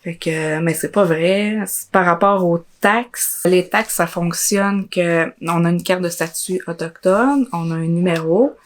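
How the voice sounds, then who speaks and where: A woman's voice: fairly low pitch, a little slow, few pauses. A young woman from Quebec's Côte-Nord with a Quebec French accent, giving personal testimony in an informal, hesitant way. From Côte-Nord, Quebec, Canada. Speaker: interviewee, social work student.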